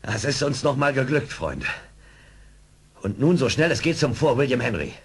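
Lively, brave frontier scout. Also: male voice, medium pitch, moderate pace, creaky. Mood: relieved, urgent. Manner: direct, assured. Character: seasoned frontier scout, brave and plain-spoken. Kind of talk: 1970s German audio drama acting.

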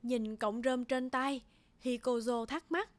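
A woman's voice: fairly high pitch, fairly even intonation, takes it slowly, no echo. A woman narrating a scripted children's folktale as a storyteller. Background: background music.

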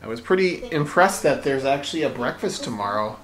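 A man's voice: mid-range voice, lively intonation, brisk, crowd noise. A father filming a casual family vlog in a hotel room, talking in a playful, joking way.

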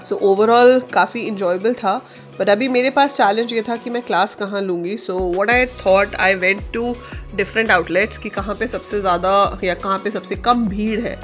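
A woman's voice: mid-range voice, unhurried, soft background music. A woman in her thirties with an Indian accent, narrating a casual travel vlog in a chatty way with many fillers. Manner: chatty, mixes Hindi and English, many fillers. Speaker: vlogger; online teacher.

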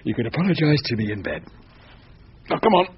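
An actor playing a sceptical, exasperated husband in a thriller radio drama, with a dry, sardonic, practical manner. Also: male voice, mid-range voice, big pitch swings, fairly quick, husky. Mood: brisk, dry. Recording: dull lo-fi radio recording, faint noise.

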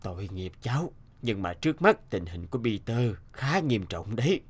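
A man voicing a serious, sympathetic middle-aged doctor in a cartoon dub, speaking in a measured manner. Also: fairly low pitch, very animated, husky. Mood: grave, sympathetic. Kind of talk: dubbed cartoon dialogue.

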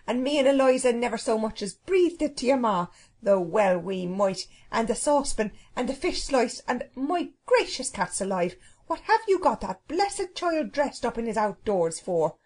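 A woman's voice: on the high side, sing-song, moderate pace, a little mellow, slightly rough. A woman voicing a fierce, indignant household cook in a children's storybook, scolding in blustering, colloquial speech. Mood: furious, scolding.

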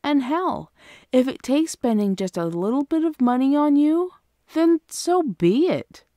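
A woman playing an affectionate, protective, gently bossy girlfriend in an ASMR roleplay, speaking tenderly and coaxingly. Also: high voice, very animated, measured pace, no echo, clean studio sound. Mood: loving, reassuring.

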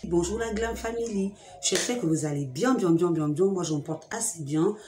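A young woman with a cold hosting a chatty beauty vlog, talking straight to her viewers in a lively, teasing way, at home. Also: fairly low pitch, moderate pace, roomy sound, music in the background. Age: young adult. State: has a cold. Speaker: beauty vlogger. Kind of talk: chatty direct-to-camera vlog. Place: room at home with a fridge and sofa.

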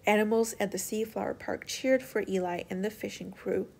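A woman's voice: medium pitch, fairly even intonation, moderate pace, gravelly, a little mellow. A woman storyteller reading a children's picture book aloud as scripted narration.

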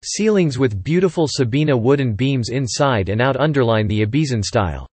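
A man reads English vocabulary and example sentences for learners, careful and deliberate, saying each line twice. His voice is a little husky and moves up and down a lot between phrases. The sound is dry and close, with no room in it. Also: medium pitch, expressive, darkish tone, dry recording. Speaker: English word reader. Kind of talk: slow repeated vocabulary reading.

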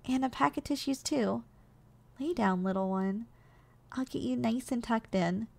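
A woman performs a kindly giantess secretary in an ASMR role-play. Her voice sits a little high, and she is soft, coaxing and nurturing, with a teasing affection for the tiny person in her care. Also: measured pace, vocal fry. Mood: doting, playful, then gentle, attentive. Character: gentle, doting giantess secretary caring for her shrunken boss.

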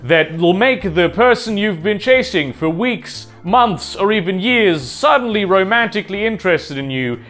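Young guy vlogging at home on a lapel mic, loud and high, voice bouncing all over, faint music under it. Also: normal speed, hardly any pauses. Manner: animated, emphatic, wide swings in pitch. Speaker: video essay host. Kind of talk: talking-head advice vlog. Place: home room with bookshelves.

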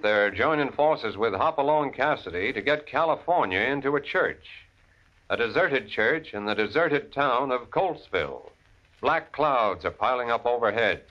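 A man's voice: mid-range voice, fairly bright tone. An American man announcing and narrating a vintage radio show in a theatrical, grandiose style. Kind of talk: dramatic 1940s-50s radio announcing. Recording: muffled vintage radio recording. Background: music.

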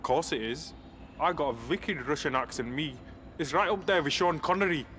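An actor playing Ben, a young man, breezy with friends and calmly reasoning in arguments. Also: fairly high pitch, moderate pace, slight background noise, close to an everyday voice. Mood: joking. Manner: breezy banter, then calm and patronizing.

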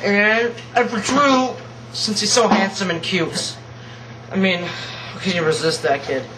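A man's voice: high voice, unhurried, sharp tone, distant mic, slight background noise, rough recording. A man playing guest judge among friends, giving goofy, teasing scores in a casual home video. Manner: goofy, teasing, jokey scoring. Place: home basement.

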